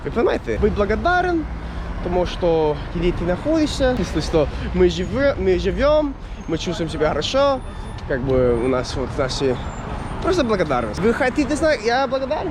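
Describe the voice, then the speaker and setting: A young man with an American accent and a high voice talks casually to his viewers in a non-native language. He rambles, hunts for words, and restarts often. The recording is outdoors, with clear street traffic audible behind him. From United States. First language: English. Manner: rambling, searching for words, many fillers and restarts. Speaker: vlogger. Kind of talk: casual chat to camera.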